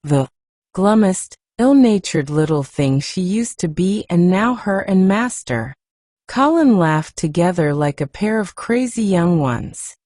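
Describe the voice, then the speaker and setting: A woman reader performs a plain-spoken housekeeper from a storybook, full of complaint and exasperation. Her voice swings up and down in pitch, with a soft, mellow tone. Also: lively intonation, a little slow, dry recording, studio quality. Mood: matter-of-fact. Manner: complaining, dialect-laden.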